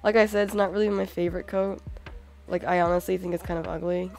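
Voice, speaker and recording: A young woman with a hoarse, cold-worn voice, chatting casually and excitedly over her gameplay as a channel host. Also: fairly low pitch, quick pace, soft background music. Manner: excitable, many "oh my god"s and "like"s.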